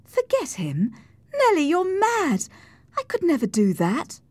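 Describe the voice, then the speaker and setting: Woman doing wilful young lady for an audiobook, excitable, swoopy pitch, close dry sound. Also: slow pace, no room sound, full character voice. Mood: excited, defiant. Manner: excitable, emphatic. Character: headstrong, passionate young married gentlewoman, mocking and wilful. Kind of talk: audiobook character voice.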